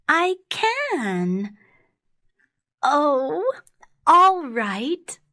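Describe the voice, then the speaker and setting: A trusting little fairy-tale girl, voiced by a woman narrator, sweet and childlike. The voice is lifted high and moves slowly and carefully, with lively intonation. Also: full character voice. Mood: slowly agreeing.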